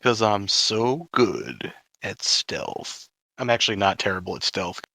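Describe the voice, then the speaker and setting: A man's voice: on the low side, animated, measured pace, vocal fry, pushed and bright, no room sound. A man taking part in a tabletop game as a player, chatting casually about his moves.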